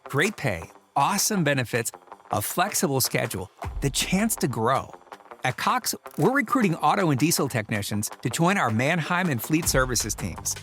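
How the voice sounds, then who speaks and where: Male commercial announcer, persuasive and animated, soft music underneath. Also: moderate pace. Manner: upbeat persuasive pitch. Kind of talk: scripted recruiting ad read.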